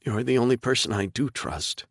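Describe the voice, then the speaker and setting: A man voicing a tearful young woman prisoner who pleads softly through sobs, in an audiobook. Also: mid-range voice, moderate pace, creaky, husky, dry recording, studio quality, pitched above the performer's own voice. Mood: tearful pleading. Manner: soft, pleading, sobbing. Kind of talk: audiobook character voice.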